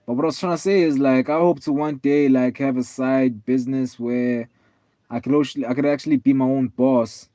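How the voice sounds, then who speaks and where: A man in his twenties, tired, chatting casually with viewers on a live stream in a relaxed, rambling way with lots of fillers. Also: mid-range voice, fairly quick, no room sound. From South Africa. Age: 20s. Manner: laid-back, rambling, many fillers, calls viewers man and bro.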